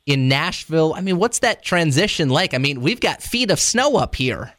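A man's voice: on the high side, animated, normal speed, a bit bright. A man hosting an interview, talking casually and rambling with many hesitations and chuckles. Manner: rambling, hesitant, laughing asides. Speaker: radio interviewer.

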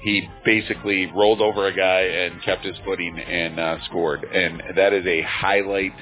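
Brief: man, 50s, radio sports announcer, lowish voice, thin phone-line sound, faint music behind. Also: normal speed. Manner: relaxed, chatty, with frequent fillers. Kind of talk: high school football radio commentary.

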